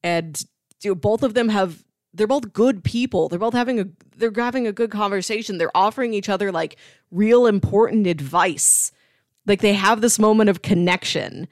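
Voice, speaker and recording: A woman with a medium-pitched voice talks casually as a podcast co-host about films. She is enthusiastic and analytical, leaning on key words for emphasis. The sound is dry, with little room around it. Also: normal speed, dry recording. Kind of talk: casual film discussion.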